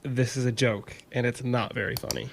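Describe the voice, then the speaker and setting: A man's voice: medium pitch, fairly even intonation, normal speed, gravelly, a little hiss or hum, natural voice. A man playing an overworked, irritable and suspicious lab worker in an audio drama, in a casual, questioning manner. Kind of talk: naturalistic audio drama acting.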